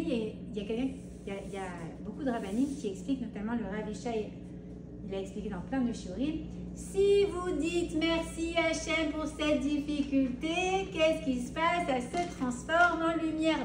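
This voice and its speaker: A middle-aged woman teaches Torah with a high, lively voice and acts out her listeners' pleas. She is heard from a distance in an echoing room. A clear hiss runs under her voice. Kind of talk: spoken Torah class to women. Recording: roomy, distant mic with hiss.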